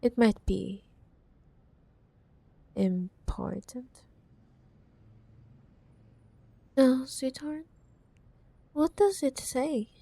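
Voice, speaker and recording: A woman's voice: high-pitched, expressive, extremely slow, gravelly, pauses often, mellow, dry recording, exaggerated voice. A woman playing a possessive, menacing corporate CEO ex-girlfriend in a roleplay ASMR drama, mock-sweet and teasing, sometimes dropping to a whisper. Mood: teasing menace.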